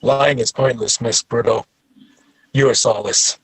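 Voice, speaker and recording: A man's voice: mid-range voice, steady tone, unhurried, husky, no room sound. A man playing a stern officer in his early thirties at a script table read, clipped and authoritative. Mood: stern, demanding.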